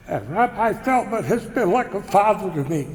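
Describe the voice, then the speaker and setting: A man, a regular congregant, speaking slowly and sincerely in a personal tribute. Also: high voice, big pitch swings, darkish tone, slight background noise. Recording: reverberant hall with crowd.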